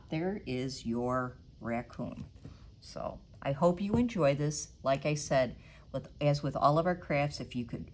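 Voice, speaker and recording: Children's librarian, middle-aged woman, low voice with a lively, swooping delivery, faint room hiss. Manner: animated storybook reading with big sound effects. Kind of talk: storytime reading and craft demo. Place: library storytime room, indoors.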